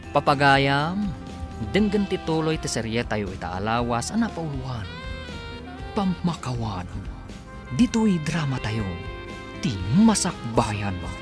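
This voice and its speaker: Theatrical radio serial narrator guy, big swoopy delivery over clear music. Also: mid-range voice. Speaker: radio drama announcer and narrator. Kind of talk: dramatic scripted radio narration.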